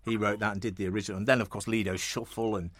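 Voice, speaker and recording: A man's voice: lowish voice, flat delivery, rapid-fire, dry recording. A male radio presenter interviewing a guest, chatty and enthusiastic. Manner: chatty, enthusiastic, warm praise. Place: radio studio.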